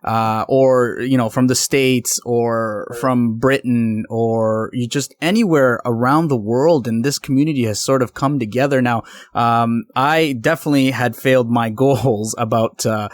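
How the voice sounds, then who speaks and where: A man's voice: medium pitch, unhurried, few pauses, no echo, clean studio sound. Easygoing male podcast host from Canada. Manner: friendly, easygoing questioner. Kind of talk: casual podcast interview.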